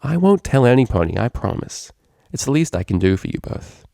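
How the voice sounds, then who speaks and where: A man's voice: medium pitch, very animated, brisk, creaky, dark tone, no echo, studio quality, pitched above the performer's own voice. A man voicing a friendly, earnest young female pony student in a story, eager and confiding.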